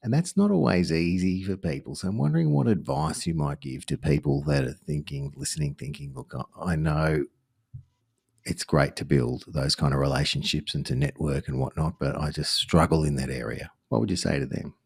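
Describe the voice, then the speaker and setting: A man's voice: rumbling low, brisk, vocal fry, a little mellow, husky, dry recording, studio quality. An Australian man hosting a podcast interview, chatty and upbeat, joking as he asks questions. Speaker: podcast host. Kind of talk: casual interview chat.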